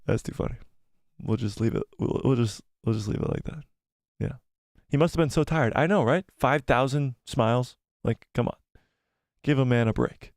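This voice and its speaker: An excitable young man hosts a casual live stream, rambling and laughing between comments. His voice sits in a middle range and sounds a little worn, as if he is losing it. The sound is dry and close to the mic. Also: medium pitch, normal speed, no room sound. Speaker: YouTube channel host.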